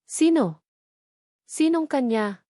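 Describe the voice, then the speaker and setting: An earnest young working woman from a romance storybook, voiced by a woman narrator. She is smitten and quick to get defensive and snappy. The sound is dry. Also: high voice, animated, mellow, no room sound, pitched above the performer's own voice. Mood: evasive, defensive. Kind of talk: storybook character dialogue.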